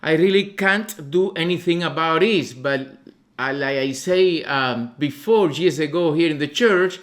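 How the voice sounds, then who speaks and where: Cuban-accented man speaking haltingly in church. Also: sits high, a bit bright. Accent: Cuban Spanish. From Cuba. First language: Spanish. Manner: halting, searching for words. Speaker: visiting ministry leader. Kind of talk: church testimony. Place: church sanctuary.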